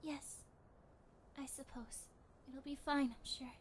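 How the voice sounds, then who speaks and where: A woman voicing a timid, soft-spoken adult female time traveler in an anime fan dub, hesitant and polite. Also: high voice, steady tone, slow, slight background noise. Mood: nervous, gentle.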